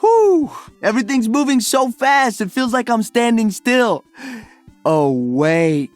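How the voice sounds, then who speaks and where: Casting: young hype-man DJ for a game, cocky, exuberant, slangy, lively swooping delivery, studio-dry. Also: very high voice, slow pace, no echo, faint music behind, exaggerated voice. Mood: playful, teasing. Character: upbeat, cocky young male DJ healer hero. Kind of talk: video game character voice lines.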